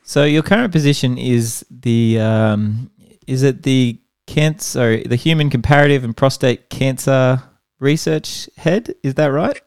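A man's voice: mid-range voice, unhurried, darkish tone, clean tone, dry recording, studio quality. A man hosting an educational podcast interview, talking in a rambling, friendly way and often restarting his sentences.

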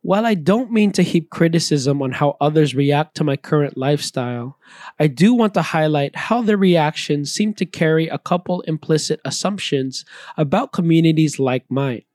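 A man reading a scripted, reflective personal talk in an earnest, measured way. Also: fairly high pitch, animated, darkish tone, dry recording, studio quality. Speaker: missionary speaker.

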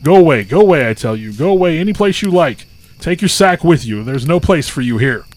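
A man voicing the Prince of Hell, a ruler of devils who shouts and hurries to be rid of a visitor. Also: a little high, expressive, a little fast, close to an everyday voice. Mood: shouting. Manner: shouting, flustered.

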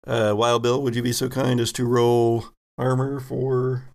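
Slow, gravelly man running a tabletop game. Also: mid-range voice, little pitch movement, a little mellow. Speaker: game master. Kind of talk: narrating a tabletop roleplaying game.

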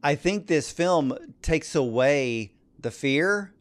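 A man's voice: on the high side, expressive, takes it slowly. A man hosting a conversational interview, speaking emphatically and addressing his listeners directly. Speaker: interview host.

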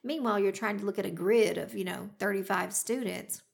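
A woman's voice: mid-range voice, a little fast, creaky. A woman hosting a podcast in casual chat, chatty and laughing often. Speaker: podcast host.

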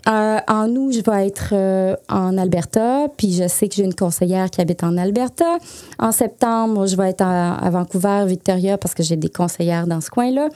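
A middle-aged woman with a Quebec French accent talks in a relaxed, mellow voice. She chats freely as a guest, filling pauses with small hesitations and laughing easily. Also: mid-range voice. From Lac-Saint-Jean, Quebec. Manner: chatty and animated, with frequent fillers and laughs. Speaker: guest, essential oils consultant. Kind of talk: casual conversational interview.

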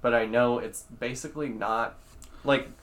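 A man's voice: mid-range voice, fairly even intonation, moderate pace, a bit bright. A young man co-hosting a podcast, laid-back and curious, asking questions with casual swearing. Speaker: podcast co-host.

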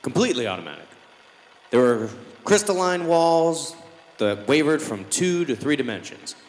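An actor playing a dazed, troubled father talking haltingly to his psychiatrist in a 1950s radio drama. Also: mid-range voice, big pitch swings, close to an everyday voice. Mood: wistful. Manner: halting, distraught, wistful. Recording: echoey old radio recording, noisy.

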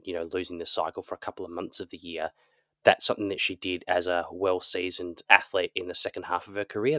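A man co-hosting a podcast, chatting casually in a wrap-up segment, with a slightly croaky voice and quick, relaxed delivery full of fillers. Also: low voice, no room sound. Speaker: podcast co-host. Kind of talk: casual podcast outro chat.

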